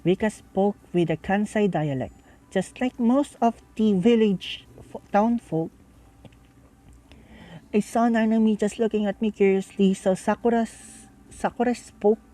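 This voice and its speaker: A woman reading a visual novel aloud with casual asides, halting and stumbling over words as she goes. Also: mid-range voice, dark tone, rough recording. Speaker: reader and commentator.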